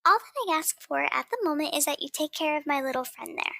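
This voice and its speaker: A woman's voice: sits high, lively intonation, fast talker, creaky, pushed and bright, slight background noise, exaggerated voice. A woman voicing a polite, scheming rebel droid in a tabletop game, with formal, precise wording. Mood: polite, persuasive.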